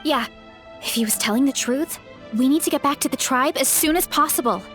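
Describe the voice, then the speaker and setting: A woman's voice: high voice, a little fast, a bit bright. A woman playing an earnest, mild young heroine in a video game, speaking straightforwardly. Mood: resolute. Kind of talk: animated video game acting. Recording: faint game music behind.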